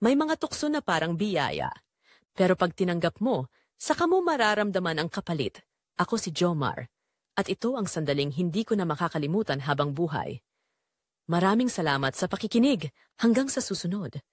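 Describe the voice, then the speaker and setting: A woman narrating as a brooding, guilt-torn man, in slow, deliberate, confessional dramatic storytelling. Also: fairly low pitch, very animated, dry recording, clean studio sound. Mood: regretful, reflective. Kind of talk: scripted first-person dramatic narration.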